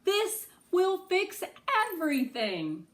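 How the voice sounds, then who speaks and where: Woman acting an excitable, bold young storybook child. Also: very high-pitched, slow, voice pushed up, exaggerated voice. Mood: excited. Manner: exclamatory, dramatic.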